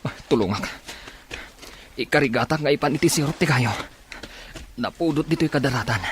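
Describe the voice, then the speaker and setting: A man acts out a shipwrecked man in an Ilocano radio drama. His mid-pitched voice is confused yet helpful, halting and gasping as he talks to himself. There is faint noise behind him. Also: lightly acted. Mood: urgent concern. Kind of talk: Filipino radio drama acting.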